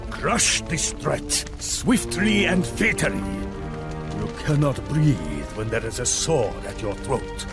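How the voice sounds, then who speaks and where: Game role: sage warlord master. Imperious, stern, deliberate, highly varied intonation, musical score underneath. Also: male voice, expressive, loud music behind the voice. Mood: stern, commanding. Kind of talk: dramatic game cutscene acting.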